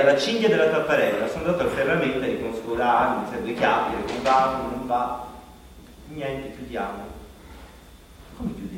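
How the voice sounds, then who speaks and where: An actor playing an anxious, haunted man, in a halting, repetitive, self-questioning theatrical monologue. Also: fairly high pitch, lightly acted. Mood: frustrated. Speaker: stage actor. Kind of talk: theatrical monologue broadcast on radio. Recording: very echoey hall, distant mic, faint noise.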